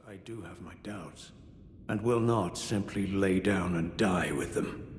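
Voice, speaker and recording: A dignified male knight speaks slowly and deeply in a fantasy game. He sounds grave, formal and resolute, with clear ambient noise around his words. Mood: grave defiance. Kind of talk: scripted fantasy game dialogue.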